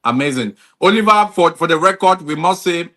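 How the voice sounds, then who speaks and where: A man's voice: on the high side, animated, a little fast, sharp tone. A man hosting a podcast interview, encouraging his guest with short affirmations and plenty of "you know.". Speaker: podcast host. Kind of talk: casual interview conversation.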